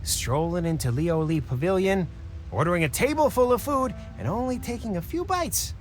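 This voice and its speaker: A pushy male swindler in an anime game, high-pitched and theatrical. He wheedles and bullies with big swings in pitch over loud music. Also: lively intonation, moderate pace. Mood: angry, insistent. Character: smarmy, pushy small-time swindler gang boss.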